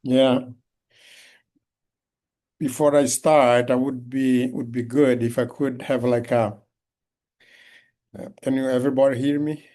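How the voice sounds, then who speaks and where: A man with a Brazilian Portuguese accent presents in his second language over a video call. His pitch sits in the middle, and he talks haltingly, full of restarts and fillers. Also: level tone, mellow. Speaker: guest speaker. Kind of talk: online talk.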